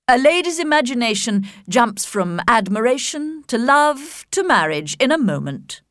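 A woman voicing a proud, reserved young gentleman in a storybook, measured, polite and faintly disdainful. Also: on the high side, big pitch swings, no echo, studio quality, voice pushed up. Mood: composed, dry.

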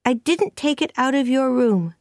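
Young girl character, acted by a woman, high voice, eager, earnest, pleading, storybook, lively swoops. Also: normal speed, dry recording, clean studio sound. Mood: earnest denial.

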